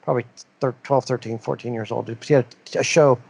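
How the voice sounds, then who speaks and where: A man's voice: medium pitch, fairly even intonation, fairly quick, creaky, darkish tone, breathy edge. A middle-aged man from Ohio co-hosting a casual podcast, telling stories with rising bursts and mumbled asides. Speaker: podcast co-host. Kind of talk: casual chat.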